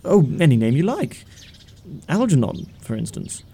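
Man playing a flustered, lovestruck young gentleman, swooping pitch. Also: sing-song, normal speed, creaky, slightly rough, heavily acted. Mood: anxious coaxing. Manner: gushing, stammering, earnest pleading. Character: smitten, flustered young upper-class gentleman suitor. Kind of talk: stage comedy audio drama acting.

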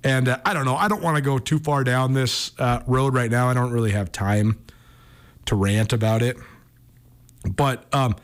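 A man's voice: mid-range voice, normal speed. An American man hosting a sports talk radio show, commenting casually and thinking aloud as he goes.

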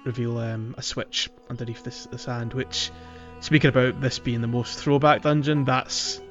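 A man's voice: mid-range voice, flat delivery, moderate pace, a bit bright. A man hosting a podcast, casually discussing a video game in a hesitant, rambling way as he thinks aloud. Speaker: podcast host. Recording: slightly dull audio with music underneath. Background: background music.

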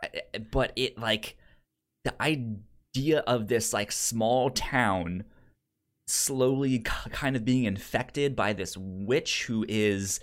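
A man's voice: mid-range voice, takes it slowly. A man co-hosting a casual film podcast, stuttering and often repeating sounds and syllables as he talks. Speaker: podcast co-host.

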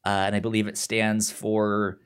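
A man chatting casually as a podcast co-host, halting and informal, with a stutter that repeats sounds and syllables. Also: lowish voice, completely flat delivery, clear, resonant tone. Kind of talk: casual chat, pitching shows to a co-host.

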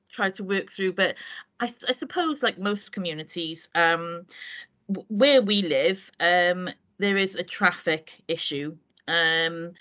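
A Welsh woman from Wales, a community councillor, talking earnestly in a casual interview and pausing often as she gathers her thoughts. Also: on the low side, pushed and bright. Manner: earnest, meandering with frequent hesitations.

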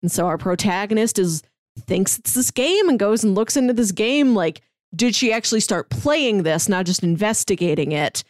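Lively woman podcaster, dry close sound. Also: medium pitch, normal speed, no room sound. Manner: chuckles often while talking. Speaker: podcast co-host. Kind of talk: casual chat.

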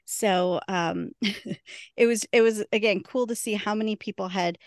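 A woman's voice: medium pitch, moderate pace, no echo, clean studio sound. A woman co-hosting a podcast, chatting casually and laughing often. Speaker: podcast co-host.

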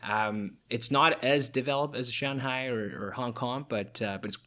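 A man's voice: medium pitch, normal speed, sharp tone. A young man from China, a podcast guest and founder, chatting casually with many fillers and restarts. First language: Chinese. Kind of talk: casual interview chat.

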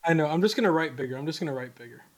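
A man's voice: a little high, animated, very fast, no room sound. A man co-hosting a casual podcast, playful and self-mocking, laughing often. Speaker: podcast co-host.

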